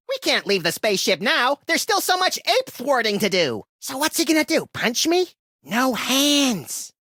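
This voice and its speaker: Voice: medium pitch, bright, forceful tone, no echo, studio quality, exaggerated voice. An actor voicing a mischievous, violent little rabbit creature from a cartoon-style video game, wisecracking with gleeful sarcasm. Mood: excitable.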